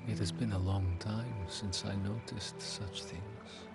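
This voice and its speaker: An actor playing a solemn, grieving widowed medieval king, narrating his story in a game cutscene with grave, measured pauses. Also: fairly low pitch, fairly even intonation, loud music behind the voice. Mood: tender, reflective. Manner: grave, measured, pausing, often breathy at phrase ends. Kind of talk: dramatic first-person storybook game narration.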